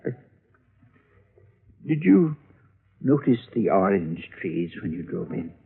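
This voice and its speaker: An actor in a 1950s radio drama playing a frail, nervous elderly man who grows orchids, halting and fussy in his speech; the recording sounds like an old broadcast. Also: low voice, sing-song, gravelly, breathy edge, narrow, tinny audio, heavily acted. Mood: fretful. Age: older.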